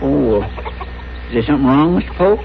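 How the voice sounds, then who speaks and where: An actor playing a good-natured cowboy deputy sidekick in a radio Western, with a rural Western American drawl and a folksy, rambling, deferential way of talking. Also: male voice, mid-range voice, lively intonation, a little fast, vocal fry. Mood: polite, chatty. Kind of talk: 1960s radio Western acting. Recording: dull, very noisy vintage radio recording.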